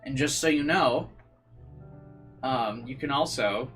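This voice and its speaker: A man's voice: mid-range voice, slow pace, fairly bright tone. Man streaming, guitar music behind. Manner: incredulous, rambling, peppered with "like". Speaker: streamer playing and reading a visual novel aloud. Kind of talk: casual livestream commentary mixed with read-aloud game narration.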